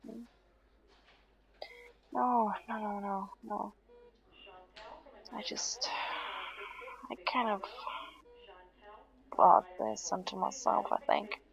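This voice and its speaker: A woman playing a weary, heat-exhausted patient in an intimate audio roleplay, halting and hesitant, downplaying things in a weak, breathy voice to soothe someone. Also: medium pitch, fairly even intonation, muffled recording. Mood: weak, apologetic. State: tired, drained. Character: weary heat-exhausted female patient reassuring a worried partner. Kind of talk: intimate audio roleplay, one side of conversation.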